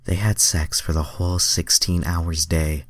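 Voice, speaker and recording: A man's voice: low-pitched, steady tone, unhurried, dry recording. An informal man reading fan fiction aloud as an amateur host, with wry, self-deprecating, sweary asides.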